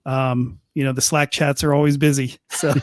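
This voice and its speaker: A man's voice: mid-range voice, little pitch movement, unhurried, no echo. A middle-aged man, a longtime sports reporter on a podcast panel, talking casually with lots of restarts. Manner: rambling, many restarts and fillers. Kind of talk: casual chat.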